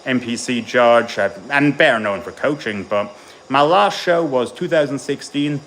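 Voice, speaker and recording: Chatty bodybuilding coach streaming from the gym. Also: male voice, mid-range voice, animated, moderate pace, fairly bright tone, a little hiss or hum. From born in Tennessee, raised in Ireland. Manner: chatty, rambling, answers chat while training. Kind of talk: casual live-stream chat between gym sets.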